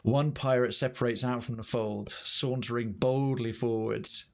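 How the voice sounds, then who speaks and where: A man's voice: mid-range voice, steady tone, unhurried, gravelly. A man streaming a video game, reading narration aloud and musing over it as he goes. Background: faint game music.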